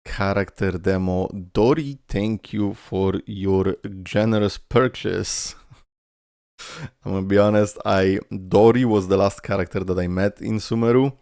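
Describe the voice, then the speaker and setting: Male reaction host, native Polish speaker; deep and creaky, loose, halting delivery, music behind. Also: darkish tone, no room sound, studio quality. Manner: rambling, trailing off, repeating words. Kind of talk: casual reaction commentary. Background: game video music.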